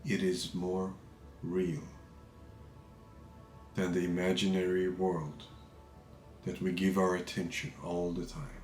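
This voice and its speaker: Slow, low-voiced man guiding meditation. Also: echoey room, soft background music. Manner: calm, measured, pausing between short phrases. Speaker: meditation teacher. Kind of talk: guided meditation, unscripted spiritual talk.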